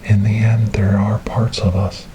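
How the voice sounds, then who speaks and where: A man playing a gentle, devout rescuer in an ASMR storytelling roleplay, speaking softly and breathily in a soothing, reassuring way. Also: lowish voice, flat delivery, a little slow, noisy background, lightly acted. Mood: quiet, tender. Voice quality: soft, breathy, whispery. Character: gentle, devout male rescuer coaxing a lost ghost girl.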